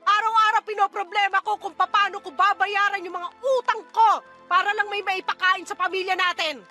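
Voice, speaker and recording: A woman's voice: extremely high pitch, steady tone, moderate pace, pushed and bright, faint music behind. A woman playing a bitter, exhausted mother who scolds and nags sarcastically in a radio-style drama. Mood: angry, exasperated.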